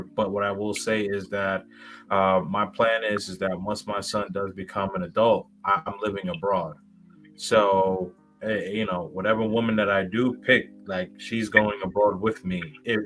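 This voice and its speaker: A man's voice: on the low side, bright, forceful tone, rough recording. A man in his thirties, a guest in a casual interview, rambling with many fillers and restarts. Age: 30s.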